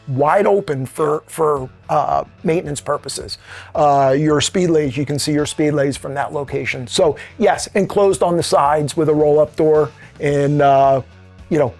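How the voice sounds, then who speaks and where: A man's voice: a little high, a little mellow. A man speaking as an interview guest, explaining things informally in conversation. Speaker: interview guest, sales director.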